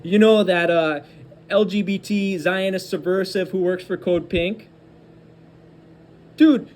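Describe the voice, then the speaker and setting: A man's voice: high voice, slight background noise. A man giving angry, combative political commentary, hurling insults and hammering points through repetition. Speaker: host of a political commentary show.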